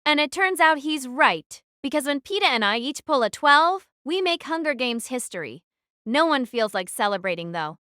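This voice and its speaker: A woman's voice: high-pitched, very animated, moderate pace, pushed and bright, dry recording, clean studio sound, full character voice. A woman playing a defiant, brooding teenage girl who narrates her own story in an audio drama. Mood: somber. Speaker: narrator and lead actress.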